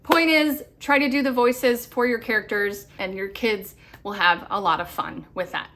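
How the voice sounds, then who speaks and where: A woman's voice: mid-range voice, steady tone, normal speed, sharp tone. A woman in her thirties giving upbeat, chatty homeschool advice to camera, often laughing. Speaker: homeschool vlogger. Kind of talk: casual advice talk to camera.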